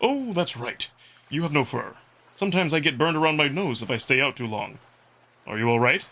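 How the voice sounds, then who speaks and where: A man's voice: a little high, animated, a little fast, sharp tone, full character voice. A man playing a playful, competitive young wolf prince in a visual novel, with a teasing, cheerful and self-assured manner. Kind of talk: visual novel character acting.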